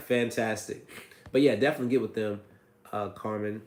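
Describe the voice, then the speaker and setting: A man with a hoarse, raspy voice from a cold, hosting a casual livestream and answering viewer questions about credit repair. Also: lowish voice, normal speed, roomy sound. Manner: reads viewer comments aloud, chatty and loose.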